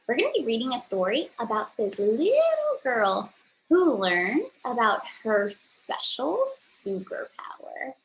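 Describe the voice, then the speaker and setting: Lively, unhurried woman teaching young children. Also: on the high side, creaky, a little hiss or hum, low-quality recording. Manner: simple, emphatic explaining to children. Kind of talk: read-aloud lesson for young children.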